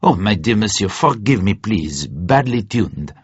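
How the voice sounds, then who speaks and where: Spy story role: male reader as a gleeful, theatrical French agent, swooping intonation, slightly husky, muffled recording. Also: lowish voice, big pitch swings, measured pace, no echo, full character voice. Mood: mock apology. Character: jovial, theatrical French secret agent, delighted and conspiratorial.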